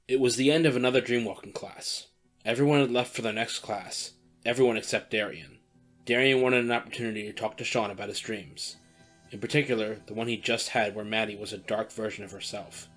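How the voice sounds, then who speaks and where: A man narrating an audio drama in an even storytelling way. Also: on the low side. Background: faint background music.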